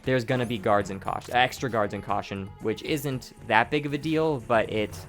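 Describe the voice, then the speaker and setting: A man with a hoarse, sore throat giving casual commentary over his own speedrun, explaining each step, often restarting his sentences. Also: medium pitch, moderate pace, faint music behind. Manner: explanatory, rambling, with frequent restarts and profanity. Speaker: gameplay commentator.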